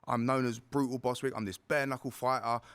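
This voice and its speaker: A man's voice: medium pitch, little pitch movement, fairly quick, a bit bright, clean tone. Rambling South London man, casual talk. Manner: loose, rambling, heavy on fillers and "bro". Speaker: podcast guest, bare-knuckle fighter. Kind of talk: casual conversational interview.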